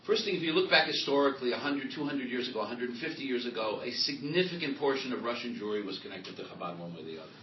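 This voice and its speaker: A man's voice: mid-range voice, expressive, fast talker, hardly any pauses, slight background noise. Emphatic man in a roomy hall. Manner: emphatic, loud, storytelling. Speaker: rabbi and author being interviewed. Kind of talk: live panel interview before an audience. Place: event hall with audience. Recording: roomy hall, distant mic, dull lo-fi sound.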